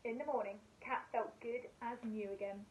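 A woman's voice: fairly high pitch, fairly even intonation, normal speed, a little mellow, rough recording. A woman in her thirties reading a picture book expressively to children, like a teacher at bedtime, recorded in a bedroom a little way from the mic. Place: small bedroom at home. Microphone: device camera a metre or so away. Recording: faint background noise.

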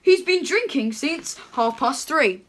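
A young woman playing a jolly, chatty Father Christmas reading a storybook aloud at home, her voice pushed lower in a playful put-on. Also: animated, takes it slowly, fairly bright tone, exaggerated voice. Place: home room with curtains.

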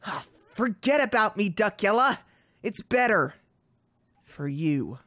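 A woman voicing a brooding, put-upon male cartoon duck in a comic parody dub, halting, exasperated and dramatic. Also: mid-range voice, sing-song, a bit bright. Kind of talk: live comic dub reading.

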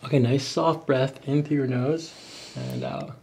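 A man in his thirties, a chiropractor, calmly giving coaxing hands-on instructions to a patient. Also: medium pitch, unhurried. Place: small office, indoors. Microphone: microphone on boom stand.

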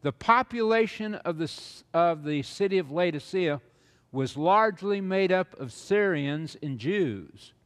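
An older man lecturing on stage as a preacher to an audience, slow and rambling, with many "uh"s and repeated words. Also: fairly high pitch, long reverb tail. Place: large auditorium stage with audience.

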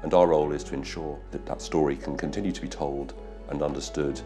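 A man in his forties giving a measured, formal documentary interview about running an archive. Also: rumbling low, steady tone. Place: wood-panelled office. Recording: faint music underneath.

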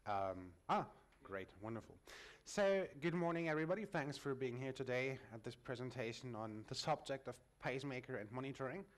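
A man's voice: mid-range voice, animated, brisk. A man from Germany giving a technical conference presentation at a lectern, explaining in a friendly way with frequent filler sounds. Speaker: conference speaker.

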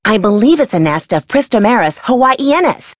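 An eccentric female field scientist from a video game. She is bossy and wordy, swooping up and down in pitch as she rambles with great emphasis. The sound is dry and close. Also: big pitch swings, normal speed, dry recording. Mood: chatty, absorbed. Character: eccentric, driven, easily sidetracked woman insect researcher. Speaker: game character, field entomologist. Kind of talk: scripted video game voice acting.

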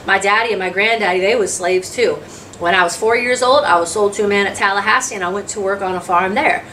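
A woman's voice: mid-range voice, quick pace, pushed and bright, natural voice. Woman voicing a folksy drifter, distant and noisy. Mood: matter-of-fact, recounting. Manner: folksy rural dialect, plainspoken storytelling. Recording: distant mic, noisy room sound.

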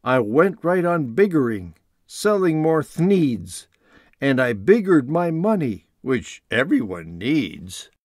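An older man reading a rhyming children's storybook as host, in a theatrical, deliberate manner. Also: medium pitch, very animated, dry recording, clean studio sound. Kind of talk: expressive storybook reading in rhyme.

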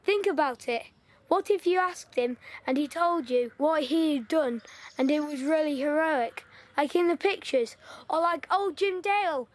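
A woman's voice: sits high, a little slow. A woman playing an eager, talkative village boy in wartime, telling stories and coaxing his friend. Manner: eager, storytelling, pushy. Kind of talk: audio play acting.

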